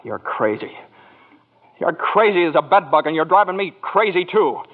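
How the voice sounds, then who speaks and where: A desperate American family man in a 1940s radio drama. The voice is high and swings wildly in pitch, stammering and pleading. The recording is thin and tinny. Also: expressive, moderate pace. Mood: angry, defiant. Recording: tinny old radio broadcast.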